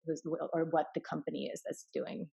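A woman's voice: sits low, level tone, fairly quick, gravelly, warm tone, husky. A woman from Sweden, born in Finland, who speaks English as a third language, explaining things casually as an interview guest. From Finland-born, raised in Sweden. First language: not English, which is a third language. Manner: informal, acts out quoted dialogue. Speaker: interview guest, property investor.

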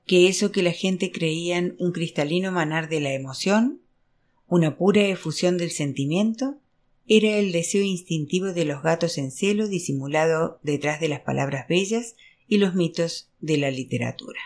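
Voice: medium pitch, moderate pace. Audiobook narrator reading a novel. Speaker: audiobook reader. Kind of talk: scripted literary narration, reading a novel aloud.

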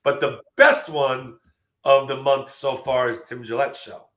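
A man's voice: medium pitch, a little slow, echoey room. A man in his late fifties, a marketing speaker losing his voice, telling stories energetically in a casual interview. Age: 50s. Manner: energetic storytelling with fillers. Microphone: video call.

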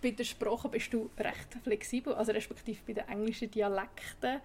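A woman's voice: a little high, steady tone, moderate pace, a little mellow, husky. A woman with a Swiss German accent hosting a podcast, asking questions and often rephrasing them as she goes. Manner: searching, rephrases her questions. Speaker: podcast host, interviewer. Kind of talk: interview questions.

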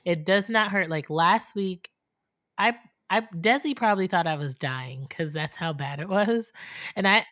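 Hoarse lady cohost, lowish voice, laughs a lot, dry close sound. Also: measured pace, fairly bright tone, no room sound. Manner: chatty, laughs often. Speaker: podcast co-host. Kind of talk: casual chat.

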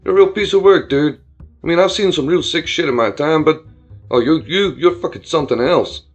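A man voicing a crude, volatile young frat-bro bully in an audio story, loud and slangy with menacing taunts. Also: fairly high pitch, a little fast, slightly rough, faint music behind, voice pushed up. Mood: furious, disgusted.

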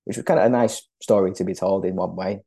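A man in his thirties, born in Peru and raised in England, thoughtfully recalling his past as a podcast guest with many pauses and restarts. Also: deep voice, fairly even intonation, creaky, mellow, breathy edge, dry recording. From born in Peru, raised in northern England. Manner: thoughtful, hesitant, frequent restarts and ums. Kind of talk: conversational interview.

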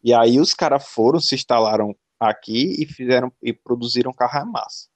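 A man's voice: medium pitch, a little fast, no room sound. A Brazilian man in casual chat, thinking aloud with many restarts and filler words.

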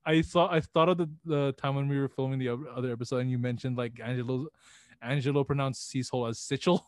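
A man's voice: medium pitch, steady tone, fast talker, no room sound. Slangy, laughing young man, dry sound. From Philippines. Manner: laughs often, loose slangy talk full of "yo". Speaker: podcast co-host. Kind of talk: casual podcast chat.

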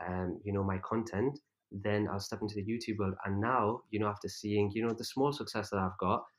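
A young man, raised in England after being born in Pakistan, chats casually in an interview. His voice sits a little low, and he hesitates with fillers and laughs often. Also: steady tone, a little fast. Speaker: guest, content creator.

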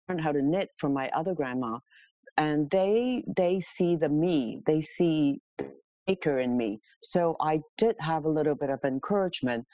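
A woman's voice: low-pitched, a little mellow, dry recording. A middle-aged woman from Hong Kong telling her own story casually and candidly as a podcast guest. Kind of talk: casual autobiographical interview talk.

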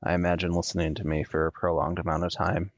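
Deep monotone man on a headset. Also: fast talker, no echo, muffled recording. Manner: monotone, with many ums and uhs. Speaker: podcast host. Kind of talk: casual solo podcast talk. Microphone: USB desktop headset.

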